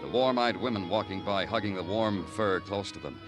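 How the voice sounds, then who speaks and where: Man playing a low-voiced, brooding hard-boiled detective. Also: normal speed, natural voice. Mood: wry, bitter. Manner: terse in dialogue, wryly poetic in narration. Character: brooding, hard-boiled male New York homicide detective. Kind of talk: 1950 radio crime drama acting. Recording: vintage 1950 radio drama with music.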